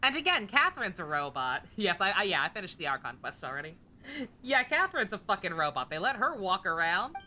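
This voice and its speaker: A woman's voice: medium pitch, very animated, a little fast, sharp tone, a little hiss or hum. A woman game streamer giving casual, irreverent live commentary, rambling and swearing freely.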